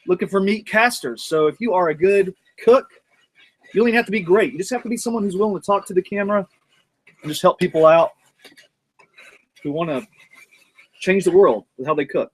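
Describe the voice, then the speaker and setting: Man, slightly high voice, loose and jokey, talks while cooking outside at the grill. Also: a little fast, pauses often, slightly rough. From North Georgia, USA. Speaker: cooking show host. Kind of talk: casual cooking vlog. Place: outdoors at a grill in the mountains.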